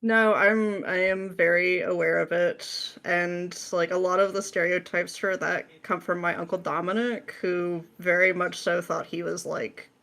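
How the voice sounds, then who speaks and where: A woman's voice: lowish voice, steady tone, a little slow, vocal fry, few pauses, a bit bright. A woman talking casually as an interview guest, telling things through little anecdotes in a joking, easily amused way. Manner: anecdotal, jokey, often laughing as talking. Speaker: interview guest, script writer. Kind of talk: casual chat.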